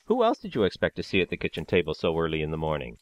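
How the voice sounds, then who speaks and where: A playful, affectionate husband. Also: male voice, deep voice, wildly swooping pitch, racing, muffled recording. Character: cheerful affectionate husband and father. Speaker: voice actor. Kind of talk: audio drama acting.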